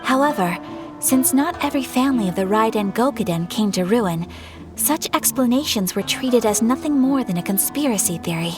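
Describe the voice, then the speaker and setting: A courteous young noblewoman played by an actress speaks in a slightly high voice, formal and measured. It is polished video game dialogue with music underneath. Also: expressive, natural voice. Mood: composed, explanatory.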